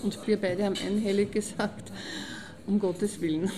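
Actress as measured middle-aged woman amid crowd chatter. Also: medium pitch, steady tone, close to an everyday voice. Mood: appreciative. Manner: measured, formal wording. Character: loyal middle-aged woman remembering a trusted friend. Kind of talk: reminiscence in an audio drama.

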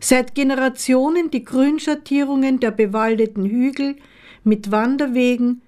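A woman reading her own poems in a measured, deliberate way with pauses between phrases. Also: high-pitched, steady tone, warm tone. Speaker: poet, author reading own work. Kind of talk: literary poetry reading.

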